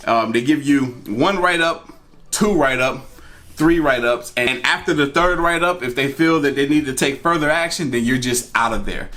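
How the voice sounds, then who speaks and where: A man's voice: mid-range voice, moderate pace, pushed and bright. A man telling a personal story in a casual, conversational way, repeating phrases for emphasis. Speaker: storytime vlogger. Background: music.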